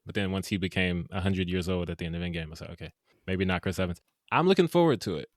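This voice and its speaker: A man's voice: deep voice, fast, no room sound, studio quality. A man co-hosting a movie review podcast, talking in a laid-back way and laughing easily. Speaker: podcast co-host. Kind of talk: casual movie review chat.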